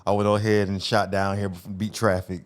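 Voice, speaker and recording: Laid-back low-voiced man telling his story. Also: little pitch movement, quick pace. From North Las Vegas, USA. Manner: laid-back storytelling, slangy, restarts phrases. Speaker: podcast guest. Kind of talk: casual interview conversation.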